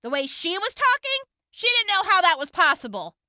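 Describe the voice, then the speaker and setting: A woman's voice: extremely high pitch, sing-song, normal speed, sharp tone, dry recording, studio quality, exaggerated voice. An actress playing a bookish, sarcastic, blunt young woman on the run in an audio drama. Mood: blunt, eager. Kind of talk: scripted post-apocalyptic audio drama acting.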